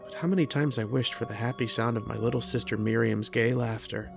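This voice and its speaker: A lonely, bookish mathematician played by a man in an old-time radio horror drama. His voice has a gravelly creak and his wording is literate and earnest, brooding and uneasy, with faint music. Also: mid-range voice, steady tone. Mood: wistful. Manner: literate, deliberate, introspective, with hesitant starts. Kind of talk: old-time radio drama acting with first-person narration.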